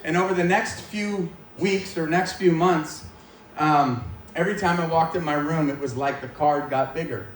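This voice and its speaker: An echoey, slightly distant recording of an American man in his forties preaching his own story. His voice sits a little high for a man. He talks informally and pokes fun at himself as he goes, with faint noise underneath. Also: moderate pace. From Maine, United States. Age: 40s. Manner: informal, self-deprecating, joking. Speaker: guest speaker giving testimony at church. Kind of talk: personal testimony preached to a live audience. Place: large echoey indoor room, speaking to a gathering.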